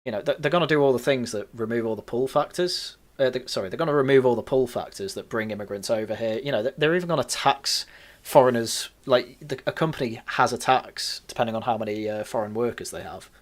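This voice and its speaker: Man in casual, halting debate. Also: medium pitch. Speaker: podcast panellist. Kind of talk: casual political discussion.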